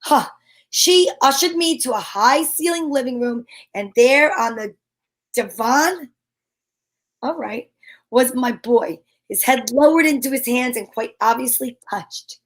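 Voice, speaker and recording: A woman's voice: high-pitched, measured pace. A woman playing a wry, self-assured private detective who narrates her case in an amateur old-time radio mystery. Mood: sardonic. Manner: wry, sassy, slangy. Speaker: lead actress and narrator.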